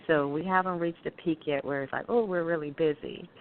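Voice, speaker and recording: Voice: medium pitch, fairly even intonation, brisk. A middle-aged restaurant owner from Guyana, answering interview questions in a friendly, chatty way. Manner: friendly, explains dishes at length with fillers. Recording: tinny phone-like line with faint hiss.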